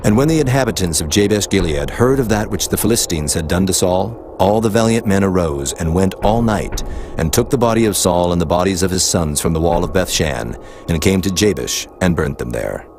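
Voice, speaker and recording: A man's voice: low-pitched, lively intonation, fairly quick, darkish tone, faint music behind. A man narrating scripture from a script in a solemn, formal manner.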